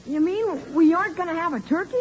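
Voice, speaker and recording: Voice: very high-pitched, quick pace. A performer playing a blunt, wisecracking young son with gee-whiz boyishness, in 1940s radio comedy style. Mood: cheeky, curious. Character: cheeky, skeptical schoolboy son in a sitcom. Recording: noisy, dull old radio recording.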